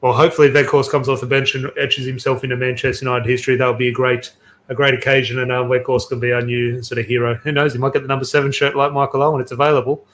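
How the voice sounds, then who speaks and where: A man talks quickly and eagerly as a podcast host, his voice in a middle register. He tells stories with plenty of fillers and restarts, and keeps the chat moving along. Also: mid-range voice, level tone, fairly bright tone. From Australia. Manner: chatty, enthusiastic storytelling with fillers. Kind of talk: casual football podcast chat.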